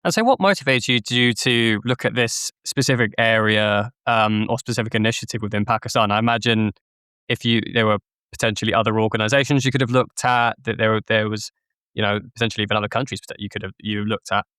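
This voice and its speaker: A man hosting a podcast interview, asking relaxed, hedging questions. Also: fairly low pitch, quick pace, clean tone, no echo, clean studio sound. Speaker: podcast host.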